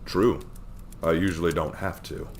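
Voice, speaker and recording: A man voicing a cold, menacing male crime boss villain in a storybook reading, slow and sneering. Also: low voice, fairly even intonation, slight background noise, pitched below the performer's own voice, close to an everyday voice.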